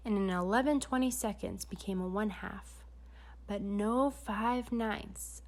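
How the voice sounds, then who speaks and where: A woman narrating a children's story aloud, with dramatic storytelling emphasis. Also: medium pitch. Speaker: storybook reader.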